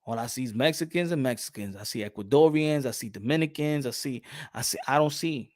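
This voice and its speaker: A young adult man hosting a casual boxing livestream, animated and repeating his phrases as he reads out the chat. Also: mid-range voice, a little fast. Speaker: boxing channel host.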